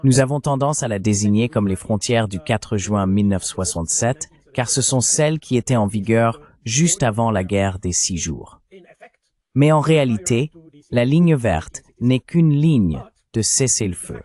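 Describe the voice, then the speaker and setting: A man reading a measured, explanatory French voice-over of an interview. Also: medium pitch, expressive, a little mellow. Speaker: translation voice-over.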